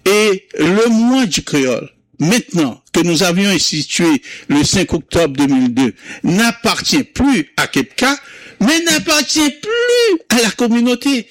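Slow, hesitant man with a Creole mother tongue. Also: high voice, big pitch swings, fairly bright tone, no room sound. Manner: slow, hesitant, many fillers and restarts. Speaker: founder of a community Creole month. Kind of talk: interview recollection.

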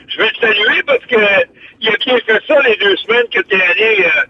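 Distant, dull-sounding older Quebec man, high-voiced. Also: expressive, brisk, breathy edge. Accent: Quebec French. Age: 60s or older. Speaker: radio show host. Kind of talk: casual reminiscing chat on radio.

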